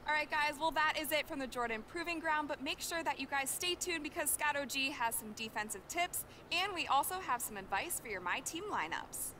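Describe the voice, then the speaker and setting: High-voiced upbeat sports reporter. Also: female voice, expressive, fairly quick, hardly any pauses, bright, forceful tone, a little hiss or hum. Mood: upbeat, friendly. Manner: friendly, polished presenting. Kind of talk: video game cutscene interview.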